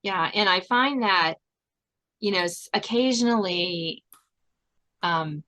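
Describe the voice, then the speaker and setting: A woman's voice: medium pitch, takes it slowly, sharp tone. An American woman from Virginia hosting a podcast, chatting casually in an encouraging, talkative way. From southern Virginia, United States. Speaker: podcast host. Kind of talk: casual interview chat.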